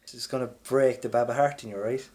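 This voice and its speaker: Irish man with a mid-pitched voice, chatting casually. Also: fairly even intonation, fast talker, darkish tone. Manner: rambling, sweary, colloquial. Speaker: podcast co-host. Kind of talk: casual chat.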